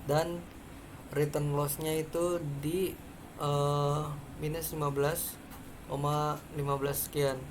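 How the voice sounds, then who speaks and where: Seorang pria muda berlogat Indonesia memperagakan perangkat lunak langkah demi langkah sebagai mahasiswa presenter, dengan bicara ragu-ragu. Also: male voice, fairly high pitch, little pitch movement, slow pace. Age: young adult. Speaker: student presenter. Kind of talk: step-by-step software demonstration. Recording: clearly noisy background.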